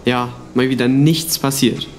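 A man's voice: medium pitch, fairly even intonation, unhurried, a little hiss or hum, natural voice. A man playing a lenient, restless wall guard in a school radio play. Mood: weary.

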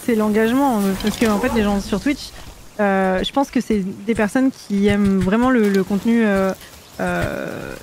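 A woman guesting on a stream, chatting casually during a game and pausing often to search for her words. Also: medium pitch, noisy background. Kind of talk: casual chat while gaming.

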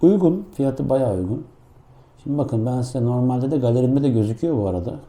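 Dark-voiced man giving a casual gadget review. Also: medium pitch, animated, fairly quick. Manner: improvised, informal step-by-step explaining. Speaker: product reviewer. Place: indoor room.

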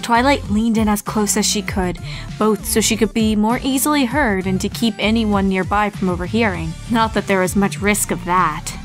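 A woman narrates a grim horror tale as a scripted reading. Her voice sits at a middling pitch, with music playing underneath. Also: moderate pace. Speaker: fan fiction reader and narrator. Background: background music.

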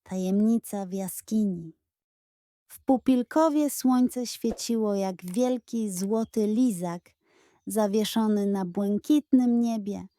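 A woman narrating a scripted children's bedtime story in a gentle, expressive storytelling manner. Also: medium pitch, clear, resonant tone, no room sound, clean studio sound.

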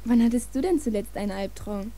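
A woman's voice: on the high side, a little fast, dark tone, slight background noise, natural voice. An actress playing a feisty teenage girl in a horror audio drama. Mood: playful, sleepy.

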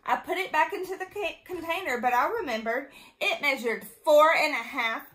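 A woman's voice: high voice, normal speed, fairly bright tone, voice pushed up. A woman voicing an eager, literal-minded housemaid from a storybook, earnest and keen to please. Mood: proud, cheerful.

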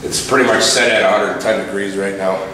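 A man's voice: deep voice, steady tone, fairly quick, pushed and bright. A middle-aged man, a plumber, casually walking through shut-off valves and showing how they work, step by step. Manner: casual, plain-spoken, explaining step by step. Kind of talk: walkthrough training demo. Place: utility rooms of a commercial building, indoors. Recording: distant camera mic with air conditioning noise.

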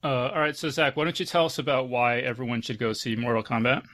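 A man's voice: mid-range voice, fast talker, a bit bright. A man hosting a panel discussion in a casual, conversational way. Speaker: podcast host.

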